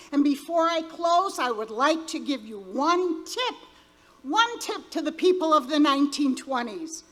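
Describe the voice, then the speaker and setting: A woman's voice: high voice, a bit bright. A woman playing a presenter who gives a scripted, declamatory introduction to a vintage radio show. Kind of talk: scripted theatrical speech.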